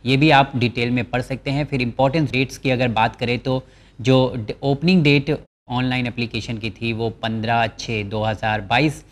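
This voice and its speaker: A man's voice: mid-range voice, fairly even intonation. A man hosting a channel, walking viewers through a government job notice. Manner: explanatory, reads English terms from the notice slowly. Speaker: channel host presenting job vacancies. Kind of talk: informational walkthrough of a job notice.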